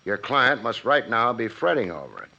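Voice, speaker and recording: An American actor playing a cool-headed, wisecracking private investigator in a 1950s radio drama, with a wry, clipped, hard-boiled delivery. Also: male voice, mid-range voice, big pitch swings, moderate pace, creaky, sharp tone, heavily acted. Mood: grave, shrewd. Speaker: lead actor and narrator. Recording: muffled old radio broadcast, faint music.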